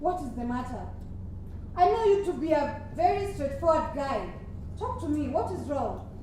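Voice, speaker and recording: A woman's voice: high-pitched, sing-song, moderate pace, a little mellow. A woman with a Kenyan accent acting a concerned, inquisitive young friend in a stage play. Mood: concerned, reassuring. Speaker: stage actor. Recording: very echoey hall, distant mic, faint noise.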